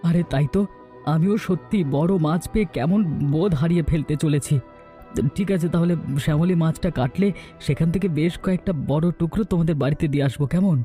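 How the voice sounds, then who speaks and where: A man's voice: a little high, fast talker, warm tone, faint music behind, lightly acted. A man playing a simple, good-natured village fisherman in a cartoon folktale, chatty and musing. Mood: friendly.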